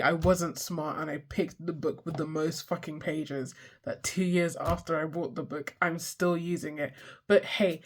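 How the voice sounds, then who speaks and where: A person in their twenties with a stuffy, congested voice, chatting casually and rambling through a sketchbook tour, swearing freely and poking fun at themselves. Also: medium pitch. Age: 20s. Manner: swears freely, self-deprecating, loses track mid-sentence. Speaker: art vlogger.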